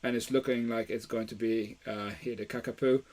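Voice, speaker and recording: A man from Belgium hosts a casual live stream, walking viewers through deals in an easygoing, unscripted way. His voice sits at an ordinary middle pitch, and he often pauses on fillers and restarts his sentences. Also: fairly even intonation, a little mellow. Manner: chatty, many fillers and restarts.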